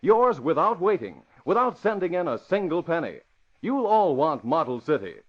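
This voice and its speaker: Vintage American male announcer: highish, declamatory, lively inflection, dull radio sound. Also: moderate pace. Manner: emphatic, declamatory. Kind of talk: 1940s radio announcing, scripted. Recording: dull old radio recording.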